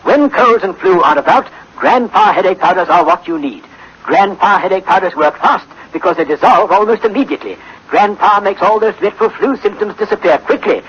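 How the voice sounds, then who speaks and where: A man with a husky voice reading a scripted 1960s radio commercial as announcer. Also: high voice, lively intonation, a little fast, fairly bright tone. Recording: dull old radio recording.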